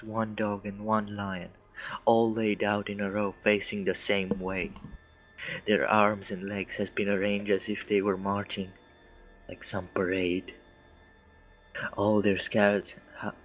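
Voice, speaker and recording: A man reading a scary story aloud in a deliberate way, occasionally stumbling over words. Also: deep voice, poor audio. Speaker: story reader. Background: faint background music.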